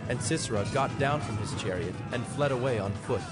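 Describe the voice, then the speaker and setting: Male scripture narrator, mid-pitched, scripted and solemn, musical score clearly behind. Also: loud music behind the voice. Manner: solemn, measured storytelling.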